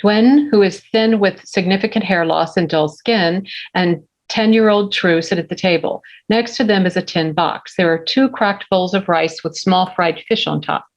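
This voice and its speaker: A woman reading the stage directions of a script at a table read, in a steady, plain way. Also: on the low side, normal speed, hardly any pauses, lo-fi sound.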